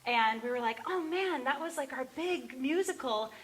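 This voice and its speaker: A woman's voice: sits high, lively intonation. A woman talking casually and reflectively between songs at a live barn performance, searching for her words. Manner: halting, informal, searching for words. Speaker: singer-songwriter introducing songs. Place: barn, live before a small audience. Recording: live in reverberant barn, music behind.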